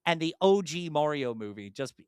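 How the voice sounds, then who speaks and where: A man's voice: fairly high pitch, big pitch swings, normal speed, clean tone, no room sound, studio quality. A young man co-hosting a podcast, talking in an enthusiastic, rambling way with frequent restarts. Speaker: podcast co-host. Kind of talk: casual chat.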